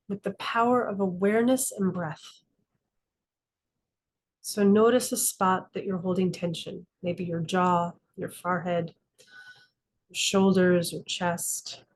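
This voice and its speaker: A woman leading a guided meditation as a teacher, calm and instructive, speaking in slow, deliberate phrases with frequent pauses. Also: fairly low pitch, slight background noise. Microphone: video call class.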